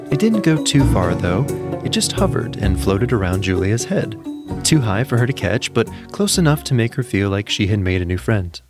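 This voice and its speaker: A man narrating a scripted story as a podcast storyteller, in a gentle storybook way with deliberate phrasing. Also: lowish voice. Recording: music behind the voice. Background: music.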